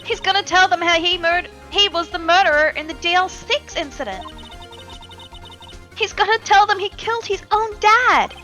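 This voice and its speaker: An excitable young woman sidekick, acted out by a woman, frantic and exclaiming. Her voice is piercingly high and bright, with faint music behind it in a live game dub. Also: moderate pace, exaggerated voice. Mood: frantic alarm.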